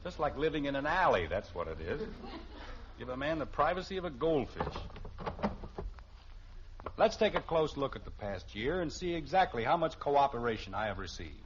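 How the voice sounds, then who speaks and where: A man's voice: mid-range voice, big pitch swings, moderate pace, long pauses, lightly acted. An American actor playing a blustering, sarcastic middle-aged suburban father in a 1950s radio sitcom. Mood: fussy, pompous. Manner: theatrical, sarcastic, fussy. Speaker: lead actor in a family sitcom. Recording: muffled, faintly hissy old radio recording.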